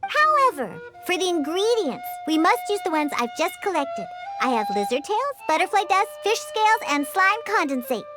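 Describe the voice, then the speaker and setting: Tiny proud cat girl bartender from a game, super high squeaky pitch, huffy n cutesy, bouncy intonation. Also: big pitch swings, normal speed, hardly any pauses. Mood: bossy. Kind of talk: scripted video game character acting. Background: background music.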